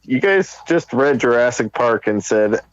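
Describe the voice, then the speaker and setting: A man's voice: medium pitch, lively intonation, unhurried, dull audio. A man chatting casually and informally as a podcast co-host.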